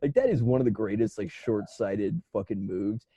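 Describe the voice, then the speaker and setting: A man's voice: lowish voice, normal speed, breathy edge, no room sound, muffled recording. A man co-hosting a comedy podcast in casual chat, swearing freely and breaking easily into laughter. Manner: profane, animated, laughs easily. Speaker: podcast host.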